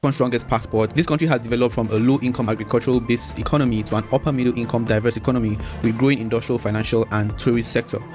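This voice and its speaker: A man's voice: medium pitch, fairly even intonation, very fast, few pauses, a little mellow, soft background music. A man from Nigeria telling his travel experience in an earnest documentary narration.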